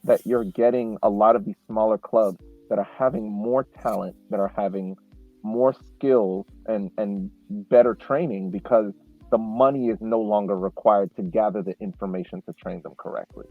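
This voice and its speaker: A man with a lowish voice talks through a casual podcast chat, coming through a thin, phone-like line. He builds his points slowly, restarting phrases as he goes. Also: steady tone, no echo, faint music behind. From Haitian family. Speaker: podcast co-host.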